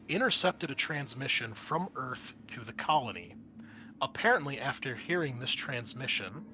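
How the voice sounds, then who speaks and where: Male game master, mid-pitched voice, measured explanatory narration for tabletop roleplaying, clear noise behind him.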